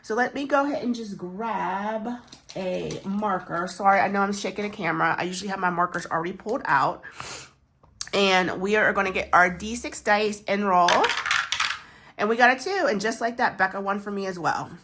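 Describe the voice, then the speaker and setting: A woman's voice: mid-range voice, moderate pace, a bit bright, slight background noise. A woman, stuffy and congested from a chest cold, chatting casually to camera in a rambling, self-deprecating way while stuffing savings envelopes. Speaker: savings challenge vlogger.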